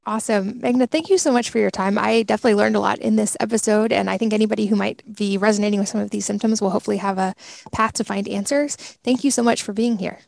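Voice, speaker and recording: A woman's voice: mid-range voice, steady tone, quick pace, gravelly, a little mellow, no room sound. A woman hosting a podcast who asks curious questions and affirms her guest's answers. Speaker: podcast host. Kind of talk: casual interview questions.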